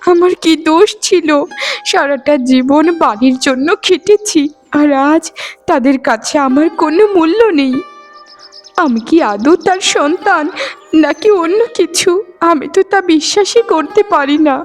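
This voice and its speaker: A woman's voice: high-pitched, measured pace, soft background music. A woman voicing a meek, rejected young village daughter in a melodramatic cartoon, speaking in a plaintive, pleading way. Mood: despairing.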